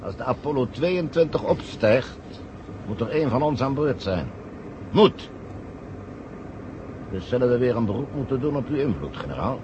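An actor playing a sly, smug conspirator who calmly gives orders in a vintage radio play. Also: male voice, mid-range voice, normal speed, pauses often, lo-fi sound, background noise, lightly acted. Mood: sardonic, insistent. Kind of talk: 1970 radio play acting.

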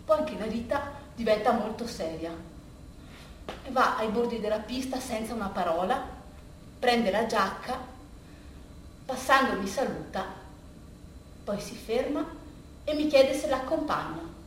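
A woman performing a theatrical first-person storytelling monologue, halting and conversational, repeating phrases as she goes. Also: on the high side, lively intonation, a bit bright. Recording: distant, very echoey room, faint noise.

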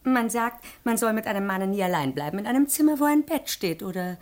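An actress playing a timid, virtuous, long-suffering wife in a theatrical radio comedy, hesitant and plaintive. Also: female voice, mid-range voice, animated, brisk, darkish tone, close to an everyday voice. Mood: anxious reluctance. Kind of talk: theatrical German classic radio comedy acting.

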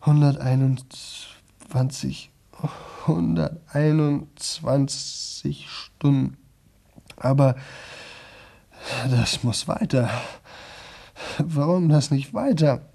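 An actor playing a young man in a radio play, exhausted and desperate, with a sore, rough throat, talking on in halting, repeated fragments. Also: a little high, mellow, full character voice. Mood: dazed, insistent. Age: young adult. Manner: halting, repetitive, broken fragments. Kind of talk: 1970s German radio play monologue.

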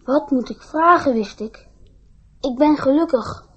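An actress playing a dreamy, trusting young boy in a radio play, speaking in an earnest, halting way. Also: sits high, no room sound. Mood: subdued. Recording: slightly dull radio play recording.

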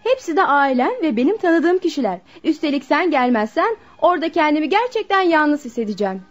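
A woman's voice: sits high, very animated, brisk. An actress playing a modern, rich young woman in a radio drama, playful, coaxing and coquettish. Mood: pouting, coaxing. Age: young adult. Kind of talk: Turkish radio drama acting. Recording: dull, lo-fi radio drama recording.

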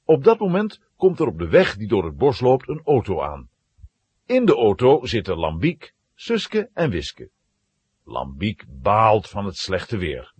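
A male narrator tells a children's story with dramatic delivery. His low voice is very husky and moves expressively, recorded dry and close. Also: huge pitch swings, measured pace, darkish tone, dry recording.

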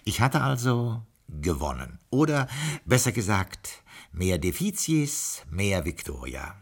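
Discreet butler: very low, husky voice, formal, deferential and wry, for vintage radio drama. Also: male voice, big pitch swings, slow pace, heavily acted. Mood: wry, confiding. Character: discreet, dryly servile butler narrating his memoirs. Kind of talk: 1960s German radio play acting.

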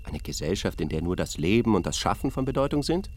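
An actor playing a bemused, understated arts expert talking deliberately as a studio guest in a radio play. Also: male voice, low-pitched, very animated, darkish tone. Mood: challenging.

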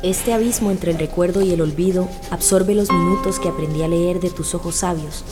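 Lower-voiced woman reciting poetry over music. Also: a little fast. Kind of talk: poetic recitation.

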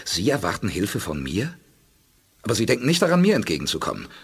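A man playing a determined, persuasive space commander in a radio play, insistent, with a husky voice. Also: on the low side, wildly swooping pitch, fast talker, heavily acted.

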